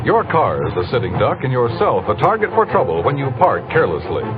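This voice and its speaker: A man's voice: mid-range voice, wildly swooping pitch, fast talker. An American man announcing from a script in old-time radio style. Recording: muffled old radio broadcast. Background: music.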